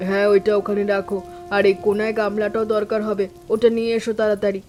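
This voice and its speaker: Woman acting as steadfast village elder sister-in-law, composed, firm, reassuring, mid-pitched, cartoon dialogue, music underneath. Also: little pitch movement, fast, a little mellow, lightly acted. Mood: brisk, stressed. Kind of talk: animated story dialogue.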